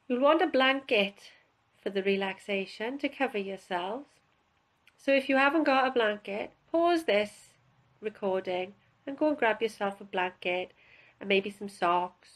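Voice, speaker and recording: A woman instructor guiding a relaxation session in a calm, unhurried, instructional way with pauses. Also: medium pitch. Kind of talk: guided relaxation, spoken instruction.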